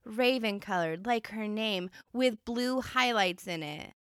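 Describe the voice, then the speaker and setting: A woman co-hosting a podcast in casual chat, making quick snarky quips. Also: mid-range voice, a bit bright, clean tone, no room sound, clean studio sound. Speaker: podcast co-host.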